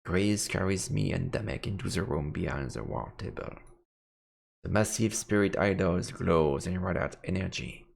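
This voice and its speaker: Low, creaky man narrating dramatically. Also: normal speed. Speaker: streamer reading a game aloud. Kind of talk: dramatic narration of a visual novel. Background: faint music.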